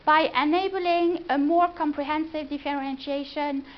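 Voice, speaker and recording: High-voiced French woman presenting. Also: steady tone, normal speed. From France. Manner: chatty, engaging, asks the room questions. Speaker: business consultant presenting. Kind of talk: live presentation to an audience. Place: event room before a live audience. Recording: dull lo-fi event recording.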